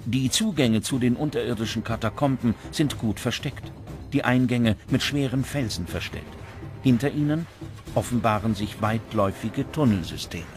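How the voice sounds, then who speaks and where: A man's voice: mid-range voice, normal speed. A man reading scripted documentary narration as narrator. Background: music under the voice.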